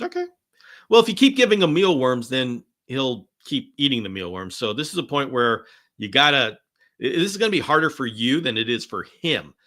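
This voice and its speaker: Enthusiastic man with a bright, strained voice. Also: medium pitch. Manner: enthusiastic, rambling with frequent restarts. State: voice going hoarse. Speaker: host answering viewer questions on a live stream. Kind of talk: live Q&A chat.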